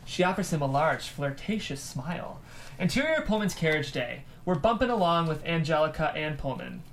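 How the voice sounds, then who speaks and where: A woman's voice: sits low, very animated, normal speed, slight background noise. A woman reading stage directions as scripted narration at a table read.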